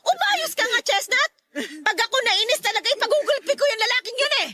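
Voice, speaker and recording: A woman's voice: very high voice, very animated, fairly quick. A woman playing an angry, scornful, domineering middle-aged mother in a Tagalog radio drama, scolding with a Filipino accent. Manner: scolding, nagging, exclamatory.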